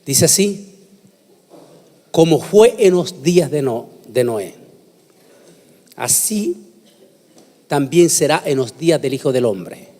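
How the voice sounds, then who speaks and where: A man preaching in a solemn, emphatic sermon-lecture style with deliberate phrasing, recorded in an echoey hall. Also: fairly high pitch, very animated, warm tone. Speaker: preacher giving a conference talk. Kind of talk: sermon lecture with scripture reading and prayer. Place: large hall, speaking to a congregation. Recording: echoey hall with long reverb.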